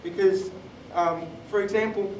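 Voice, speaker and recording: Male, twenties, high voice, explanatory panel speaker; recorded far from the mic in an echoey room. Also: fairly even intonation, a little slow, a little mellow. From born in Thailand, moved to Australia. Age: 20s. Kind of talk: personal talk on a live panel podcast. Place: conference room, live event. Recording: roomy, distant mic, clear background noise.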